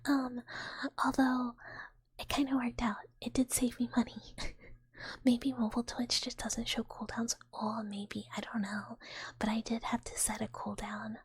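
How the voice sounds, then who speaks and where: Female livestreamer, higher-pitched soft whisper, very airy, rambling and playful casual chat. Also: little pitch movement, unhurried, husky, no room sound. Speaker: live streamer.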